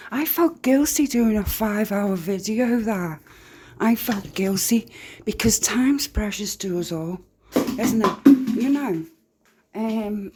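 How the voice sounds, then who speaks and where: A woman's voice: mid-range voice, darkish tone. A woman from near Liverpool with a light Liverpool accent, giving rambling, candid true crime commentary. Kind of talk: casual commentary.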